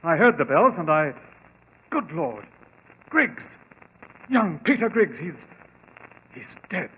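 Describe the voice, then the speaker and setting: An actor playing a nervous, shocked gentleman who speaks haltingly in 1940s radio drama style. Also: on the high side, very animated, husky, phone-quality sound, full character voice. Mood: shocked, anxious. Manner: halting, agitated. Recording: thin, noisy vintage radio recording.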